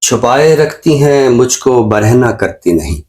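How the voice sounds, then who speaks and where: A middle-aged Pakistani man, a professor and poet, speaking in a measured, professorial way and repeating phrases for emphasis on a literary show. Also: mid-range voice, animated, darkish tone. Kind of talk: reflective literary talk and poetry recitation.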